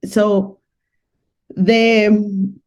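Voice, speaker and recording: Colombian woman with a mellow voice. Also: medium pitch, flat delivery, drawn-out words. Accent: Colombian Spanish. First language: Spanish. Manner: explains plainly, with simple grammar. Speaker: guest. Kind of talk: casual conversation.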